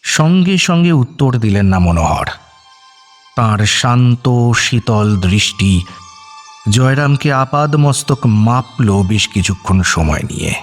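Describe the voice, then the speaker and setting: A man tells a suspense story as a radio narrator. His mid-pitched voice moves with lively rises and falls, and music plays faintly underneath. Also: a little slow. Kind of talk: dramatic scripted narration.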